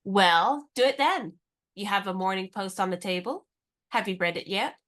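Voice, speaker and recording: A woman playing a plucky, independent American in her twenties in a radio comedy, speaking in a brisk, forthright, encouraging way. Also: medium pitch, a bit bright, close to an everyday voice. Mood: spirited, direct. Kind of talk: radio play comedy dialogue.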